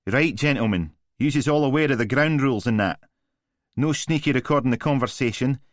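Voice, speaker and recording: A man's voice: medium pitch, brisk, no echo, studio quality, pitched above the performer's own voice, natural voice. A male narrator voicing a scruffy middle-aged lawyer with a broad Glaswegian accent, offhand and slangy. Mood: breezy.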